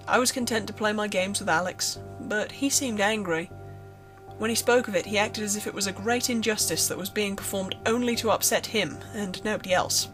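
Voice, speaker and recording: Casting: female horror-story narrator, mid-pitched, scripted read with clear music bed.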